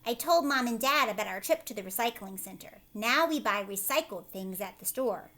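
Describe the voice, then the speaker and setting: A woman's voice: fairly high pitch, animated, normal speed, sharp tone. A woman teacher doing a children's read-aloud, adding chatty explanations in simple words and often checking in with short questions. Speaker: teacher reading a picture book aloud to children.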